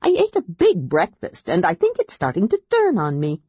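A woman reading aloud, voicing an excitable, chatty young male kender in a high, eager voice. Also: moderate pace, husky, no echo, muffled recording, heavily acted. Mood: eager chatter.

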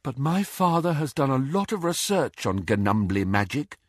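A man voicing a serene, eccentric teenage girl in an audiobook, with an airy, unhurried, bright manner. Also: mid-range voice, big pitch swings, breathy edge, no room sound, studio quality, voice pushed up.

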